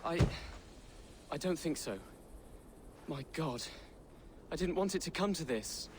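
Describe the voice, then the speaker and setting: A man's voice: a little high, lively intonation, a little slow, heavy background noise. A male actor voicing an earnest young Saxon prince in a video game, hesitant and anxious in his delivery. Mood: shaken. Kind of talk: dramatic video game acting.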